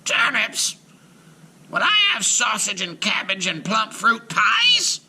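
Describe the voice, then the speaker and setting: A man voicing a bossy, menacing old witch in a storybook, drawn-out and commanding, shifting between harsh whispers and shrieks. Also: sits high, huge pitch swings, bright, forceful tone, a little hiss or hum, voice pushed up, full character voice. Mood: scolding, menacing.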